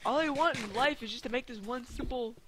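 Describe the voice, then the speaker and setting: A teenage boy hosting a casual multiplayer game session, talking in playful, whiny bursts that jump to yelling. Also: very high-pitched, fairly quick, slight background noise. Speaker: gaming channel host. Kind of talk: casual multiplayer gaming chat.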